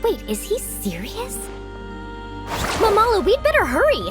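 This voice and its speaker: Very high-voiced perky athletic girl. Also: wildly swooping pitch, unhurried, over loud music, heavily acted. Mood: competitive. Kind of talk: anime game character acting.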